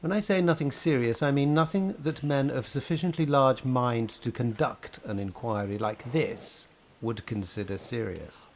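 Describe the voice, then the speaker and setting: A man's voice: mid-range voice, normal speed, a little hiss or hum, lightly acted. A man playing a stern, learned medieval bishop in a radio play, formal and declamatory. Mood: curt, dismissive. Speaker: actor.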